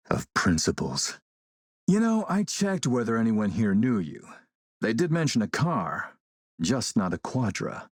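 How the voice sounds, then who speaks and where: A menacing military warlord from an action game, a man who speaks slowly and with calculated polish. His commanding voice sits on the low side and is recorded close and dry. He is suave and threatening. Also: no echo. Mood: polite, probing. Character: smooth, menacing military warlord and arms dealer. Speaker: villain character in an action role-playing game. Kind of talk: acted video game dialogue.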